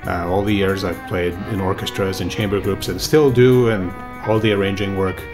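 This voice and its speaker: A man talking casually in an interview about his band, relaxed and chatty with fillers. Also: low-pitched, normal speed. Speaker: bandleader and composer. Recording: voice with loud music behind.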